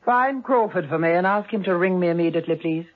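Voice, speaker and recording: A woman's voice: on the low side, fast, slight background noise, natural voice. An actress playing a poised, imperious society lady with refined speech in a 1970s radio drama. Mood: gracious. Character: poised female society head. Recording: dull old radio recording.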